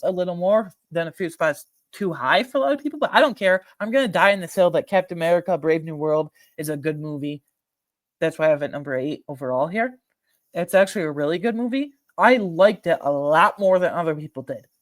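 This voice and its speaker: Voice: medium pitch, dry recording. Emphatic, casual film commentator, close dry sound. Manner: conversational, emphatic, slangy. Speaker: video host giving a film ranking. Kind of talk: casual, opinionated movie commentary to viewers.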